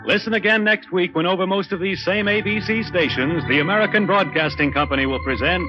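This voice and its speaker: A man's voice: high-pitched, brisk. A man reading scripted credits as a 1940s network radio announcer. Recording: dull 1940s radio recording, loud organ. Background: loud organ music.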